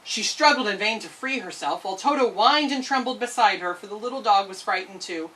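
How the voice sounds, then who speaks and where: A woman reading a children's story aloud in an expressive narrating style. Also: medium pitch, a little fast, recorded from a distance, rough recording. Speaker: storytime reader.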